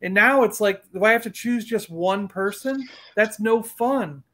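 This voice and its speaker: A man's voice: high-pitched, unhurried, a bit bright. A middle-aged man in casual chat, talking in a rambling, emphatic way and repeating himself. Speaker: collector and panelist on a fan livestream.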